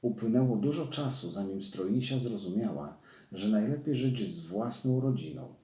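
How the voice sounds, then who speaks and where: A man's voice: medium pitch, lively intonation, normal speed, mellow. A man reading a children's fairy tale as a scripted storyteller. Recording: roomy echo.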